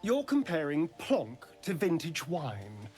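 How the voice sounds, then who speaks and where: An actor playing a flamboyant, sardonic, power-hungry vampire in a video game, with a teasing, drawn-out delivery. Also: male voice, a little high, wildly swooping pitch, darkish tone, faint music behind, full character voice. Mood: wry, brooding. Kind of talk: dramatic video game acting.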